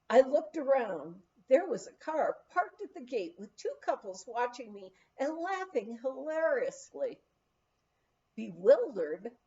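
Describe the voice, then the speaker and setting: Woman, audiobook reader, expressive storytelling, mellow soft-edged tone, animated swooping intonation, slightly muffled lo-fi sound. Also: fairly high pitch. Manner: animated storytelling with acted dialogue. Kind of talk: expressive reading of a humorous memoir.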